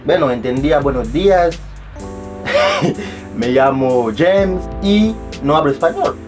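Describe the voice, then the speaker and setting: A man's voice: on the high side, huge pitch swings, slow pace, over music. A young man speaking Spanish as a second language, telling a casual story with rambling restarts and self-mocking asides. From abroad, not Chile. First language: not Spanish. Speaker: vlogger.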